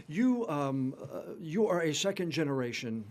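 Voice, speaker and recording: A man's voice: fairly high pitch, very animated, moderate pace, darkish tone. A man interviewing a guest, speaking attentively and backing him up with frequent short agreements. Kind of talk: interview conversation.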